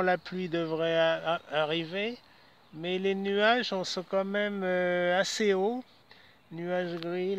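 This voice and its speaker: A man in his seventies chatting casually over amateur radio with call signs, in a halting, courteous way. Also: high-pitched, clear, resonant tone. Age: 70s. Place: outdoors in a city park. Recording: dull lo-fi audio.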